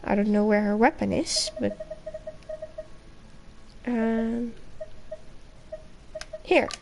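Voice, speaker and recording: A woman's voice: fairly high pitch, animated, extremely slow, creaky, a little mellow, slight background noise. A young woman giving casual live gameplay commentary in short fragments as she streams. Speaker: game streamer.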